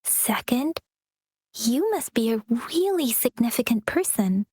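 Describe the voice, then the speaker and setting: A calm young guide who explains things in a soft, measured, slightly breathy voice, pitched a little high. The sound is dry and close. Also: female voice, no room sound, exaggerated voice. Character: gentle, polite young woman guide, calm and informative. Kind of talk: game cutscene voice acting.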